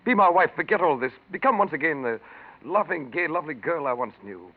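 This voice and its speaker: An actor playing an earnest, exasperated and protective suitor in a 1940s radio mystery, emphatic and theatrical. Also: male voice, fairly high pitch, lively intonation, a little fast. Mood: urgent pleading. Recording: tinny, noisy 1940s radio recording.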